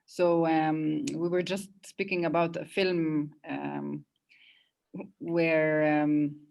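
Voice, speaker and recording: Woman, lower register, casual panel discussion, halting, circles back to rephrase. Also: flat delivery, creaky, a little mellow. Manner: halting, self-interrupting, conversational.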